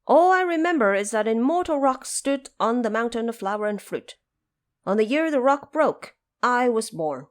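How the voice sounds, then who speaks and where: A woman playing an eager, naive male monkey king in an audio drama, earnest and excitable. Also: on the high side, normal speed, voice pushed up, close to an everyday voice.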